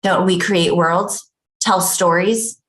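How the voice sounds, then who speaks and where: A woman's voice: on the low side, steady tone, takes it slowly, a bit bright. A woman playing a controlling, self-important ad agency boss in a comedy table read, curt and commanding with a touch of pomposity.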